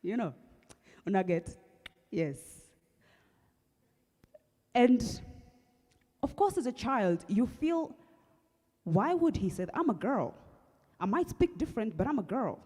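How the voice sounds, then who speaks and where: A young Kenyan woman with a husky voice, earnestly telling her personal story at an awareness event, with halting restarts. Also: fairly high pitch, very animated, warm tone. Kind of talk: personal testimony talk. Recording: long hall reverb.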